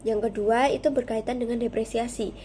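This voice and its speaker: Young woman presenting over faint noise, bright voice. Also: on the high side, fairly even intonation, fairly quick. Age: young adult. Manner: formal, methodical. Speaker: student presenter. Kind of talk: prepared class presentation, explaining step by step.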